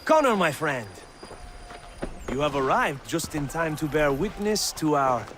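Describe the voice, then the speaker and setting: An actor playing a proud French officer with a French accent and a commanding, grandiloquent manner in a video game. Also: male voice, on the high side, very animated, measured pace, a bit bright. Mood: earnest, exasperated. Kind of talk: dramatic video game acting. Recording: battlefield and outdoor noise behind.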